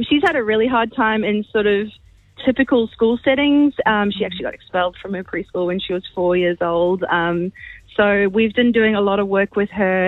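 A woman's voice: mid-range voice, no echo. An Australian woman calling in to a radio show over the phone, talking about personal matters. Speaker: caller. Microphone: phone call. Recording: phone-line sound over faint music.

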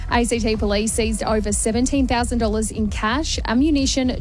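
Female newsreader, slightly high, crisp, faint music bed. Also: darkish tone. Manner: crisp, measured delivery. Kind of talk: radio news bulletin.

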